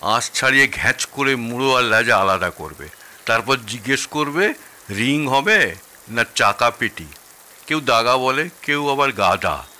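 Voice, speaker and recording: Man playing a sharp-voiced, grumbling father-in-law. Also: mid-range voice, animated, measured pace. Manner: opinionated, theatrical, reminiscing. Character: grumbling, nostalgic elder Bengali father-in-law, fond of food. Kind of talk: audio drama acting.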